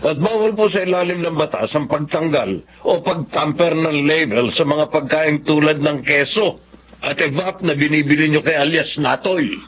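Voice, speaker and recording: An actor playing a stern Filipino police officer, formal and admonishing in radio drama style. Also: male voice, fairly high pitch, normal speed, a bit bright. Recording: dull, faintly noisy track.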